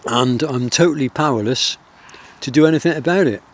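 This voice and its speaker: A middle-aged man speaks with a soft Wiltshire country accent, his voice at an ordinary pitch for a man. He talks in a gentle, rambling way, stopping often on fillers. He is recording outdoors in the countryside. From England. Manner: soft-spoken, rambling, with many pauses and fillers. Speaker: outdoor vlogger. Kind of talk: reflective outdoor vlog.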